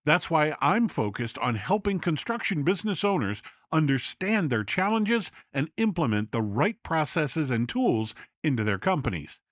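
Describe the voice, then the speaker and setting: Male podcast presenter, scripted read, mid-pitched with animated delivery and dry, close sound. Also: normal speed, hardly any pauses, dry recording.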